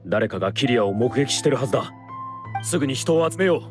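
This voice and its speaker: A man's voice: medium pitch, animated, fast, music in the background, natural voice. A man playing a serious, resolute mercenary leader in a Japanese game dub, firm and plain-spoken. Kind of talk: Japanese anime game dubbing.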